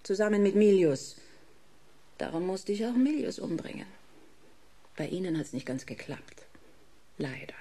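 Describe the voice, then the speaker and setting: A smug female gangster boss from a radio crime play. Her mellow voice swoops freely as she mocks and gloats, savouring every threat with theatrical relish. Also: mid-range voice, very animated, a little slow, pauses often. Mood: smug confession. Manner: mocking, theatrical, savouring each word. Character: smug murderous female corporate director playing a gangster boss. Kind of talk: German radio crime drama acting.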